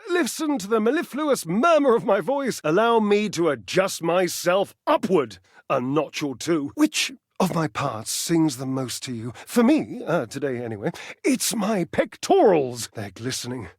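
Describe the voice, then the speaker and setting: A male actor voicing a vain, lovesick washing machine in a video game, grandiose, flirtatious and petulant, with theatrical pauses. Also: on the high side, sing-song, no room sound, clean studio sound, full character voice. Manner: grandiose, flirtatious, melodramatic delivery with dramatic pauses. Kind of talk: video game character voice acting.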